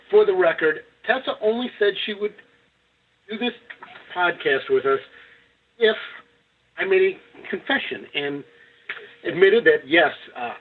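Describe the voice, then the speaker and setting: A male podcast host wraps up the show with playful, teasing banter. His voice is slightly husky and fairly high for a man, heard over a tinny, phone-like line. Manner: joking, teasing. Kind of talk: casual wrap-up banter.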